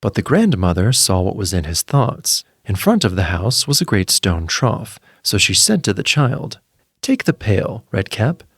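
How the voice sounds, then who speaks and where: Male fairy-tale narrator: lowish voice, lively intonation, measured scripted storytelling. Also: husky, no room sound, clean studio sound.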